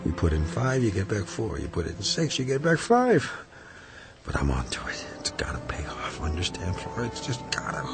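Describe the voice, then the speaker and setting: A man's voice: on the low side, huge pitch swings, moderate pace, vocal fry, over loud music, heavily acted. A man acting in a radio drama as an obsessed, agitated husband and gambler who mutters and rants. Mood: feverish muttering. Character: obsessed, raving husband gambler fixated on a slot machine.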